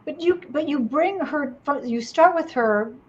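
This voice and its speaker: A woman's voice: sits high, expressive, measured pace, darkish tone, muffled recording, slight background noise. A woman hosting an interview, asking long, winding questions that summarize the story before getting to the point. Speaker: host interviewer. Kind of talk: casual interview.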